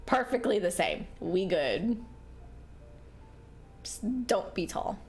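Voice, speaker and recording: A woman's voice: medium pitch, big pitch swings, slow, darkish tone, faint music behind. Giggly, rambling young woman. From rural New York, USA. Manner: rambling, giggly, lots of fillers. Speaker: streamer. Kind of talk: casual chat while gaming. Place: home, living in Japan.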